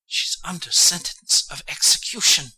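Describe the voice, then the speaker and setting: A man's voice: on the high side, measured pace, pushed and bright, breathy edge, pitched above the performer's own voice, exaggerated voice, low-quality recording. A man doing a timid, whispery rabbit. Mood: nervous.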